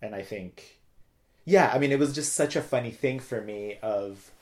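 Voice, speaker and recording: A man's voice: medium pitch, moderate pace. A talkative middle-aged man from Turkey, telling personal stories casually as an interview guest. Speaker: interview guest, theater director.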